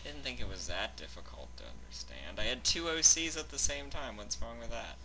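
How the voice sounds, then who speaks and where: A man's voice: medium pitch, sing-song, fairly quick, crowd noise, poor audio. A man hosting a fanfic reading channel, chatting casually and stammering a little as he introduces things.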